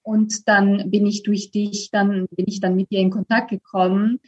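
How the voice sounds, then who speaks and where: A woman's voice: mid-range voice, little pitch movement, moderate pace, a little mellow. Dull-sounding recording of a woman guest. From Italy. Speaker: podcast guest, voice trainer. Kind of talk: reflective conversational interview.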